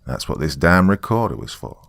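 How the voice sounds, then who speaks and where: A very low, creaky male voice, recorded dry and close. A man acts out a jaded, middle-aged small-town narrator who confides his horror story in a conversational, first-person way. His delivery is sardonic and matter-of-fact, full of dry asides. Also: sing-song, fairly quick, a bit bright, no echo, exaggerated voice. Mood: wry, confiding.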